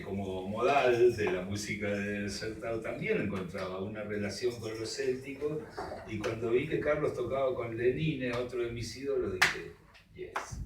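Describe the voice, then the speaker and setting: A man with an Argentine accent moderating a conversational public talk, hesitant as he searches for words. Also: fairly low pitch. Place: indoor public talk at a cultural centre. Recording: echoey room, distant mic, clearly noisy.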